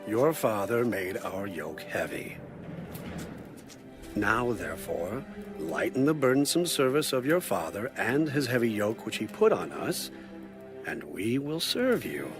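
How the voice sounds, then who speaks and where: A man's voice: on the low side, sing-song, unhurried, music in the background. A man playing a burdened spokesman pleading with a king in a dramatized audio Bible.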